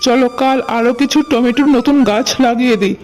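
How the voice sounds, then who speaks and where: A woman's voice: on the high side, fairly even intonation, brisk, darkish tone, faint music behind, lightly acted. A woman voicing a humble village vegetable seller, an earnest and plaintive married man in a cartoon. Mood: grateful.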